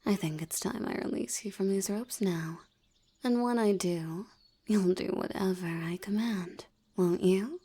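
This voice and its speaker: Slow, seductive vampiress hypnotist, played by a woman. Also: medium pitch. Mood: hypnotic, commanding. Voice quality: soft, breathy, often slipping into whisper. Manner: slow, coaxing, teasingly dominant. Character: seductive, commanding female vampire hypnotist, smug and teasing. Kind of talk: intimate scripted audio roleplay, hypnotic and ASMR-like.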